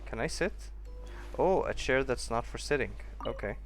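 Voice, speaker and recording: A man's voice: medium pitch, animated, normal speed. A man streaming a game with casual commentary, muttering short reactions to himself. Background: faint game music.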